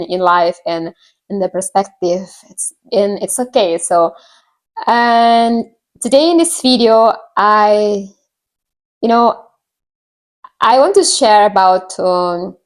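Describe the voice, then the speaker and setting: Indonesian-accented woman, halting casual storyteller. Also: medium pitch. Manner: halting, searching for words, repeats phrases. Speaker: vlogger. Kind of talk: casual personal storytelling to viewers.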